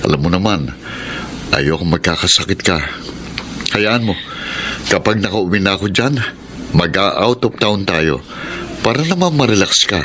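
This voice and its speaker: A devoted husband who talks low and earnest. He sounds sincere and steady. A noisy hiss runs under the voice. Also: unhurried, long pauses. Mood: tender. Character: guilt-ridden married man, earnest and devoted. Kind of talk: radio drama acting.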